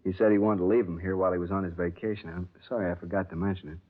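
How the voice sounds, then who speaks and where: A nervous witness in a 1950s radio drama, low-voiced and rushing through his apologies. The sound is thin and tinny. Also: fairly even intonation. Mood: apologetic.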